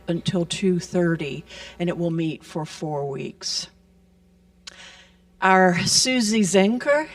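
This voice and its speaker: A woman making gentle announcements and blessings during a church service. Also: fairly low pitch. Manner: slow, gentle, blessing-style delivery. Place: church sanctuary. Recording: voice picked up from a distance.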